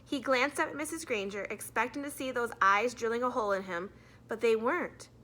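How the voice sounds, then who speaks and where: A woman's voice: a little high, a little fast, pushed and bright. A woman narrating a children's storybook as a teacher reading aloud to a class.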